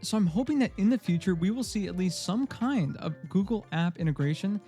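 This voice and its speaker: High, mellow-voiced man reviewing tech. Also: lively intonation, brisk, breathy edge, faint music behind. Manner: upbeat and emphatic, openly gushing. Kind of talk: scripted tech review voice-over.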